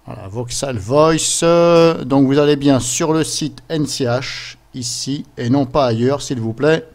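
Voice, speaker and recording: Man, mid-pitched voice, chatty, informal, step-by-step software tutorial. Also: unhurried. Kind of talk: casual screen-capture software tutorial. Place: voice-over recorded at a computer during screen capture.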